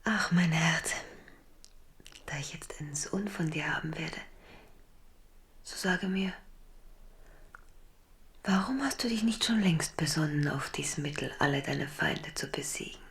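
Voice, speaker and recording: An actress playing an amorous, tender and possessive young queen in a German radio drama, in a breathy whisper. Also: deep voice, a little slow, pauses often, a bit bright.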